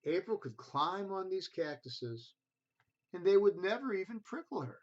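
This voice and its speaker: A man reading a children's story aloud in an expressive, deliberate way, speaking directly to his listeners. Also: high-pitched, highs cut off.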